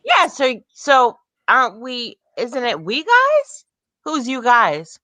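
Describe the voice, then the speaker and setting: Woman, high lively pitch, bright voice, blunt, pointed questioning, casual debate. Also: slow, no room sound. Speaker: panelist in a group debate.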